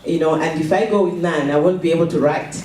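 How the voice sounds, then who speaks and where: A middle-aged woman from Zambia, slowly telling a personal story at a fundraiser, with halting pauses and repeated words. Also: low-pitched, darkish tone, slight background noise. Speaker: charity founder giving a speech. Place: event hall. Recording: echoey room, from a distance.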